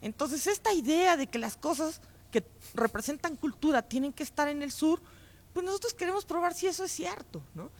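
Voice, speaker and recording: Animated, high-pitched Mexican woman. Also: moderate pace. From Chiapas, Mexico. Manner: expressive, repeats words for emphasis. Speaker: festival organizer, guest. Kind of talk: live on-stage interview, spontaneous talk.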